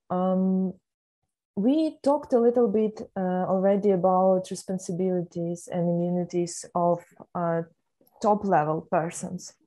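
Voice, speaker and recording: A woman's voice: on the low side, mellow. A woman hosting an online panel discussion, speaking hesitantly with many fillers. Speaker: host.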